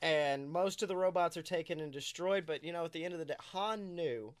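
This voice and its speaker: A man co-hosting a podcast, chatting casually in an excitable, jokey storytelling manner. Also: fairly high pitch, a little fast. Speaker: podcast co-host. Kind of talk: animated casual podcast chat.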